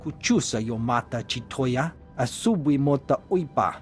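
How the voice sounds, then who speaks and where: A man's voice: mid-range voice, lively intonation, moderate pace, faint music behind, lightly acted. A man voicing an alien character in a video game, speaking in an invented alien tongue. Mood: matter-of-fact.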